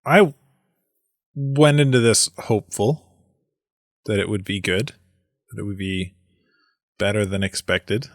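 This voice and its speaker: Low-voiced hesitant podcast co-host, a man. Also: fairly even intonation, measured pace, pauses often, no room sound, clean studio sound. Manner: hesitant, many restarts and fillers. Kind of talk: casual movie review chat.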